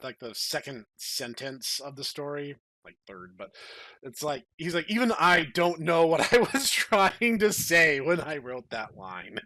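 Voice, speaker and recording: Guy podcast host, bright punchy voice, rambles a bit, super dry sound. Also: a little high, dry recording, poor audio. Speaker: podcast host and story narrator. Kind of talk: casual chat and dramatic reading.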